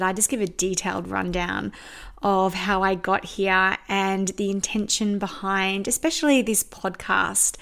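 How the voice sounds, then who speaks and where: Earnest Australian woman host. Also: mid-range voice. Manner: earnest and open, with soft laughs and fillers. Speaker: podcast host. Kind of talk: personal storytelling monologue.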